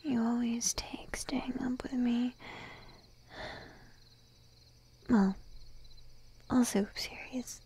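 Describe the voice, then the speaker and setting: Slow, breathy shy sheep-girl girlfriend, acted by a woman. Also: fairly high pitch, steady tone, heavily acted. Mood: clingy, pleading. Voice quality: soft, breathy whisper. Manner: timid, coaxing, lingering pauses. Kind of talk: whispered ASMR roleplay. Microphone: close microphone, dry.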